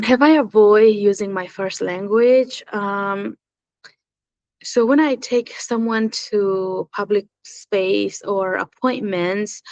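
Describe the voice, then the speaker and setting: A young woman from Burma, speaking English as a later language, telling her own story in a halting way with many pauses and fillers. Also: mid-range voice, no echo, dull audio. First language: Zaniat. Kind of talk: personal testimony, recorded talk.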